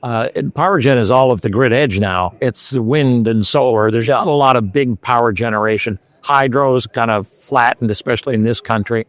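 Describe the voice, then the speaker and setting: A man's voice: mid-range voice, normal speed, few pauses, no echo. A man from Scotland hosting interviews at a trade show, chatty and conversational with hesitant fillers.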